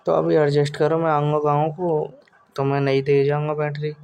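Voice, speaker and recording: A man's voice: a little high, completely flat delivery, normal speed, vocal fry, a little mellow, clean tone. A young man chatting casually and teasingly on a recorded phone call. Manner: teasing, goading. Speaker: caller chatting with partner.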